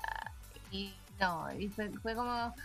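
A woman chatting on a podcast, animated and emphatic. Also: medium pitch, takes it slowly, gravelly, soft background music. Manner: animated, emphatic with repeated denials. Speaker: podcast co-host. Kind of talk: casual podcast chat.

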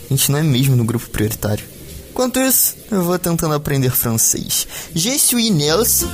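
A man playing an easygoing, chatty young student flatmate in an audio drama, talking casually with a Brazilian accent. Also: fairly high pitch, normal speed, faint music behind. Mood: resigned, easygoing. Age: young adult. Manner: colloquial, casual.

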